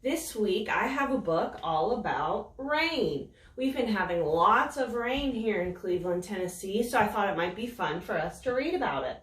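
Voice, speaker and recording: A woman reading a picture book aloud to children in a slow, expressive, sing-song storytelling voice. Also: mid-range voice, recorded from a distance. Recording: roomy echo, voice set back from the mic.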